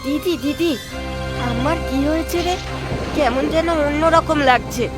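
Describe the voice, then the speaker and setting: Woman as a high-pitched timid little boy. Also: measured pace, over loud music. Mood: whiny. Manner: childlike, whiny, repeating phrases. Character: timid, excitable little boy, younger brother. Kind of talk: expressive children's cartoon acting.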